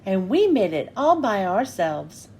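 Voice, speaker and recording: A woman voicing an earnest, excitable young male sponge character. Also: medium pitch, measured pace, a little hiss or hum, pitched below the performer's own voice. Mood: bright.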